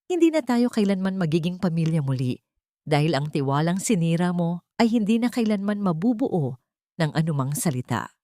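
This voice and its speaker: Lady doing a cold wronged wife, firm and steady, dry close sound. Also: fairly low pitch, moderate pace, no echo.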